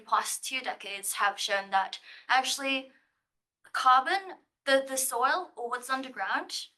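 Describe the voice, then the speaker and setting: A woman's voice: on the high side, pushed and bright. A young woman from Thailand giving a live science-writing presentation, nervous and giggly, with a playful manner. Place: lecture room.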